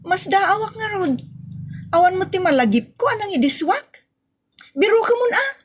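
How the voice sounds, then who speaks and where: A woman's voice: very high-pitched, big pitch swings, moderate pace, a little hiss or hum. A woman acting the part of a forgetful, busy housewife in a homemade comedy skit, exasperated and muttering to herself. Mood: flustered.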